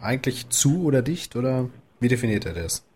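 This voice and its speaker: A man hosting a casual tech podcast, talking in a relaxed, chatty way with frequent filler sounds. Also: mid-range voice, a little fast, slight background noise. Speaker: podcast host.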